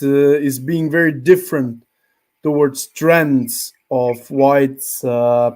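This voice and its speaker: A man whose first language is Slovene, hosting a livestream and asking interview questions in a halting way with many restarts over a video call. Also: a little high, darkish tone. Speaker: livestream host.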